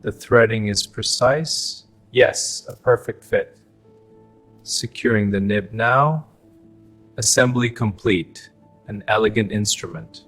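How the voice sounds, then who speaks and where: A man's voice: lowish voice, slow, fairly bright tone, soft background music. A man speaking short scripted lines as a factory worker and documentary narrator.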